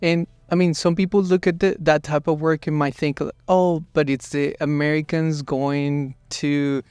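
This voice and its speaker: A man from Colombia hosting an interview in a studio, asking measured, unhurried questions. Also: on the high side, flat delivery, darkish tone, clear, resonant tone, dry recording. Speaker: podcast host.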